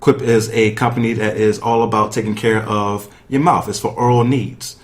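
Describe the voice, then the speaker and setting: A man's voice: fairly low pitch, flat delivery, moderate pace. Casual man hosting a sports podcast. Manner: informal, chatty, addresses listeners directly. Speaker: podcast host. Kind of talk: casual sports talk with guests and sponsor reads.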